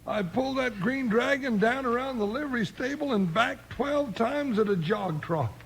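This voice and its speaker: An actor playing a big, folksy cowboy deputy in a 1950s radio western, with a husky high voice and plain frontier slang. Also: male voice, lively intonation, moderate pace, a little hiss or hum. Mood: weary complaining. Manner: drawling frontier slang, plain-spoken and earnest.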